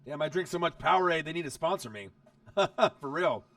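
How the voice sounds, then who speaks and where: A man hosting a casual live stream while watching football, chatty and excitable, his voice hoarse from losing it. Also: fairly high pitch, normal speed, no echo, recorded from a distance. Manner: excitable, chatty, talks straight to viewers.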